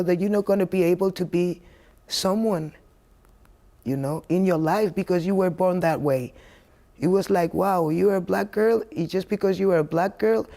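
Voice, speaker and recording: A woman's voice: fairly low pitch, warm tone. A woman from Spain, a native Spanish speaker, answering interview questions emphatically, repeating herself and saying "you know" often. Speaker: interviewed singer.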